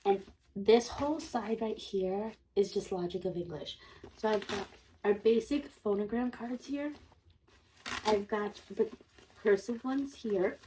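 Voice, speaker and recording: A woman's voice: mid-range voice, unhurried, mellow, a little hiss or hum, poor audio. A woman in her thirties, a homeschool vlogger with a sore throat and a congested, throaty voice, chatting enthusiastically with many ums as she shows off a purchase. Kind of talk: casual show-and-tell vlog.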